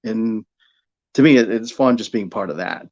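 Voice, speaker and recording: A man's voice: fairly low pitch, darkish tone. Middle-aged American man, slightly dull audio. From Buffalo, New York, United States. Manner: halting, repeats words while thinking. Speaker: guest, radio sports broadcaster. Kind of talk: casual interview conversation.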